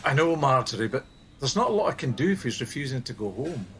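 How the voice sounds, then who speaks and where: A man's voice: mid-range voice, very animated, quick pace, fairly bright tone. A man playing a conscientious helpline team leader in a naturalistic radio play, reasonable and mildly defensive. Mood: measured, defensive.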